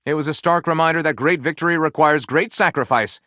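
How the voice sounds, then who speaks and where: An actor playing a flamboyant, melodramatic storyteller who narrates trashy fan fiction in a comedy video game with grand, playfully sardonic flourish. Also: male voice, a little high, fairly quick, pushed and bright, dry recording, clean studio sound. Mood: dramatic narrating.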